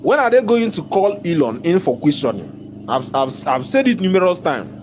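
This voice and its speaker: A man, hoarse from losing his voice, ranting in a vlog from inside his own shop. He repeats himself emphatically, stumbles over his words and keeps breaking into laughter. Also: high-pitched, very animated, moderate pace, darkish tone, slight background noise. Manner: repetitive, emphatic, stammering restarts, bursts of laughter. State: hoarse, lost voice. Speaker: shop owner vlogger.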